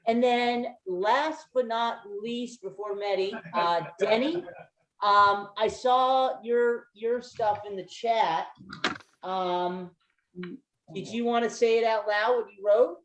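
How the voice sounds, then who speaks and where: A woman running an online meeting over a video call, speaking slowly. She talks in a chatty, halting way, full of ums and restarts. Her voice has a roomy echo. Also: on the high side, steady tone, a little hiss or hum. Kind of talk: casual video-call facilitation.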